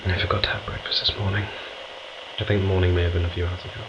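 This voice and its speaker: Man acting an anxious astronaut, low brooding voice. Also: level tone, fast talker, highs cut off, lightly acted. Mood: afraid, brooding. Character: anxious, fascinated male astronaut on a remote moon base. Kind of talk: audio drama acting, confiding recorded log. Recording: clear background noise.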